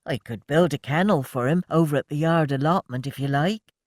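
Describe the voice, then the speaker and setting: A reader voicing Rose, a gentle young woman who speaks softly and hesitantly. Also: sits low, fairly quick, no echo, clean studio sound. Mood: grateful.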